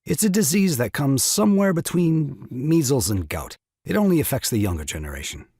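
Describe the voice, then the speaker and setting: Excitable new father, wheedling, ingratiating, farce style, animated pitch, husky. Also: fairly quick, dry recording, clean studio sound. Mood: flustered. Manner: flustered, wheedling. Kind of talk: stage farce audio drama acting.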